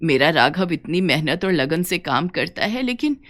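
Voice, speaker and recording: A woman's voice: deep voice, big pitch swings, normal speed, fairly bright tone. A woman voicing an elderly village mother in a cartoon story, caring and weary, plaintive and tender in manner. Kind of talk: Hindi cartoon story dialogue.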